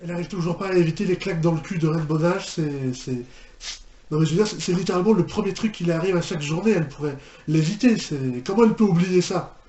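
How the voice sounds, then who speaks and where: A man's voice: fairly high pitch, quick pace, dark tone, dull audio. A man giving casual reaction commentary, often repeating himself and hesitating in disbelief. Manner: hesitant, repetitive, incredulous exclamations. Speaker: reaction video host. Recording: echoey room, faint noise.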